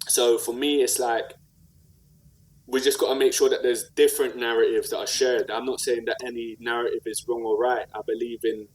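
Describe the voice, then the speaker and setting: A man's voice: mid-range voice, level tone, normal speed, rough recording. A man with a South London accent speaking conversationally and earnestly in slang, reflecting and telling stories as an interview guest. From South London, England. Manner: earnest, slangy, with repeated "like" and "you know what I mean". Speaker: podcast guest, rapper and community activist.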